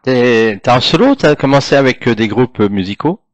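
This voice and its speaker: A man's voice: mid-range voice, animated, moderate pace, no room sound. A man hosting a casual interview, giving short acknowledgments and brief follow-up questions. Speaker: host.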